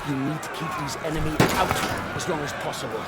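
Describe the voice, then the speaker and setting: A man's voice: fairly high pitch, fast talker, close to an everyday voice. An actor playing a commanding Roman military man barking battle orders in a dramatic video game style. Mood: urgent, commanding. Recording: noisy battle din, shouting crowd behind.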